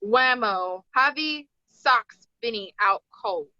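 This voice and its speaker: A woman's voice: a little high, lively intonation, takes it slowly, bright, forceful tone, dry recording. A woman narrator reading screenplay stage directions aloud at a table read. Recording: dull, dry lo-fi audio.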